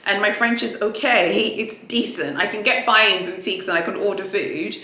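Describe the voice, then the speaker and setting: Bright, chatty woman vlogger. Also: medium pitch, normal speed, echoey room. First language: Swahili. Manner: chatty, rambling, with frequent restarts and fillers. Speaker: luxury unboxing vlogger. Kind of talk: casual chatty unboxing vlog. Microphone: ring light setup, camera mic.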